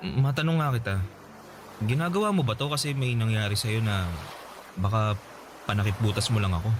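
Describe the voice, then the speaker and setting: A man acting a sober, guarded young man in a Filipino radio drama, with a Filipino accent and a measured, apologetic delivery. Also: fairly low pitch, natural voice. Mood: guarded, hesitant. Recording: noisy ambient sound behind dialogue.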